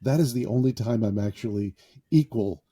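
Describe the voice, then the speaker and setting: A man's voice: on the low side, normal speed, warm tone, slightly rough. A man hosting a podcast, joking about himself in a loose, improvised monologue from a small foam-padded closet. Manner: self-deprecating and jokey. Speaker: podcast host.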